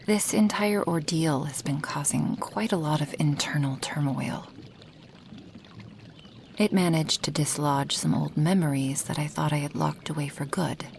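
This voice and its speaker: Woman acting as a soft dragon girl potion maker, asmr, lowish creaky voice, half whispering, affectionate, bit of noise behind. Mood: weary, confiding. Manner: slow, breathy half-whispers, sighs and hums. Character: affectionate female dragon, a potion maker tending her human guest. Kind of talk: soft intimate ASMR roleplay.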